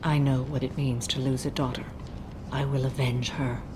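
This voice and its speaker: An actress playing a solemn, ancient alien warrior woman in a video game, speaking in a measured, grave, formal way. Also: rumbling low, flat delivery, background noise. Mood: solemn resolve. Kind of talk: dramatic video game acting.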